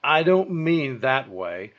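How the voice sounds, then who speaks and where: An actor playing a blunt, dry police lieutenant in an old-time radio drama, terse and matter-of-fact. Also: male voice, medium pitch, very animated, slow. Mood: blunt, considering. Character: blunt, dry, fair-minded male police detective lieutenant. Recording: dull, lo-fi audio.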